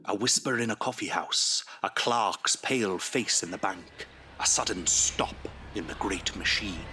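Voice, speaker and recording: A man's voice: deep voice, measured pace, pushed and bright, slight background noise. A man narrating an audio drama in a dramatic, scripted storytelling style. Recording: music behind the voice.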